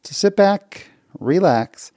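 A man's voice: high voice, expressive, slow, darkish tone, no echo. Man, podcast host, cheerful, chatty, dry close sound. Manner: upbeat and friendly, with frequent fillers. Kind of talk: podcast interview.

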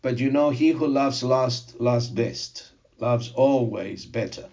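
An older man whose first language is Greek, preaching a sermon and telling personal testimony in a slow, emphatic way. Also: medium pitch, a little mellow, muffled recording. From Zimbabwe.

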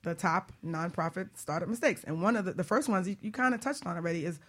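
A woman talk show host with a bright, forward voice. She chats casually as an interviewer and coach, informal and expressive, with slangy turns of phrase. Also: fairly low pitch, fast.

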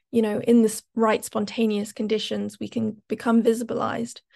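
A young woman speaks as a climate activist, reflective and hesitant with many small fillers. Her voice has a heavy gravelly fry, and her pitch stays flat and even. She sits a little high for a woman. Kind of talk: panel talk.

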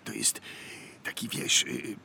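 An actor playing a pompous street drinker in a comic radio play, mixing slang with mock-learned words. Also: male voice, takes it slowly, sharp tone, a little hiss or hum. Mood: pompous, enthusiastic. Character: male street-drinker philosophizing pompously about his way of life.

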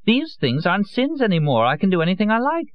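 A man's voice: sits high, huge pitch swings, fast talker, no echo, muffled recording, pitched above the performer's own voice. A man voicing a drunk, theatrical young woman who drawls coyly and stumbles over her words. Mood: drunken coy. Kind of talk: audiobook character voice.

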